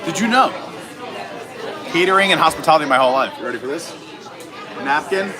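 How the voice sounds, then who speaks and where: Higher-pitched American man over loud crowd. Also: lively intonation, brisk. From Long Island, New York, USA. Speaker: live-stream host. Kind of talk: casual live vlog chat. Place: busy public indoor resort space. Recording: loud crowd in large indoor space. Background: loud crowd chatter.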